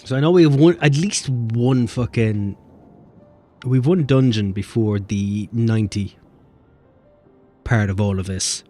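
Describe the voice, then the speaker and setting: A man's voice: medium pitch, measured pace, long pauses, warm tone. Joking, swearing male streamer. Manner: offhand, joking and profane. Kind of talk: casual live-stream commentary. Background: game music.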